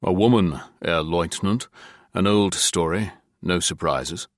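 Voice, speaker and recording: A narrator plays a deferential French businessman in a wartime audiobook. The man's voice is very low and dry, and he explains himself smoothly without getting ruffled. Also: unhurried, no room sound. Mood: calm, deferential. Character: suave, unruffled French contractor being questioned, deferential.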